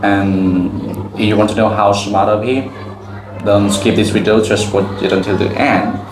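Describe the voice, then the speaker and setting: A man's voice: on the low side, fairly even intonation, normal speed. A Cambodian man, a teacher asking a student simple questions in plain, broken English and answering each reply with "okay". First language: Khmer. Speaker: teacher and channel host. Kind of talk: classroom question-and-answer video. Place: school campus. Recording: echoey, with faint background music.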